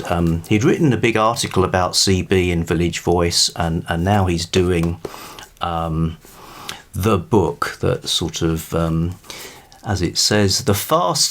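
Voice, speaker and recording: A British man rambling informally about books to camera in a halting, thoughtful, wryly amused way. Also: low voice. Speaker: book review vlogger.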